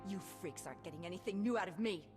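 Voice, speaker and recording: A woman's voice: lowish voice, quick pace, music in the background, close to an everyday voice. A woman playing a hard-bitten, unbroken captive assassin in a video game, angry and defiant.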